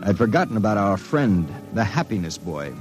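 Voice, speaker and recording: An actor playing a hard-boiled, wisecracking investigator, narrating and acting in an old-time radio drama with terse, sardonic delivery. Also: male voice, on the low side, big pitch swings, moderate pace, loud music behind the voice. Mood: grim narration.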